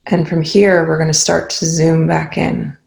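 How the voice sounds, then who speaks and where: A woman leading a guided meditation, speaking calmly and without hurry, leaving long pauses between phrases. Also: low-pitched, vocal fry. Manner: calm, unhurried, with long pauses. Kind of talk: guided meditation, spoken softly to listeners.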